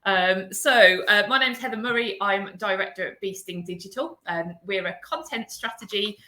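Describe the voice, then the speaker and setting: Bright chatty Birmingham woman. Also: medium pitch, moderate pace, echoey room, poor audio. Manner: chatty, laughs often, many fillers. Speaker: host, agency director. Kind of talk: live video interview. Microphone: video call.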